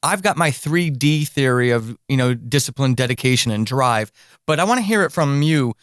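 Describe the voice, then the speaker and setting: A man's voice: medium pitch, animated, normal speed, a bit bright, clear, resonant tone, dry recording, studio quality. A man hosting a podcast interview, speaking in an effusive, emphatic, enthusiastic way.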